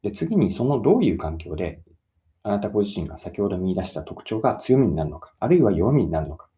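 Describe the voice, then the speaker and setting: 抑揚豊かで、とても落ち着いた暗めの声の男性. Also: male voice, lowish voice, sing-song, fast, warm tone. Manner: methodical, stresses key words and recaps points. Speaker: presenter giving career self-development advice. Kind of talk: explanatory solo talk, addressing listeners directly.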